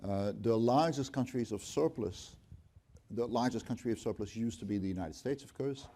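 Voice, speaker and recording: A middle-aged man, a company finance chief speaking on an auditorium stage, explaining things in a measured way with dry humor and frequent ums. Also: medium pitch, dark tone. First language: Dutch. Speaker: company CFO, guest speaker. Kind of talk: onstage conversation. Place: auditorium stage before an audience.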